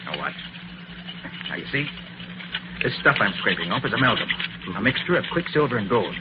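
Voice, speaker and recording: An actor playing a quick-witted, decisive male detective in an old radio drama. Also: fairly low pitch, very animated. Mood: excited. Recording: muffled, noisy old radio recording.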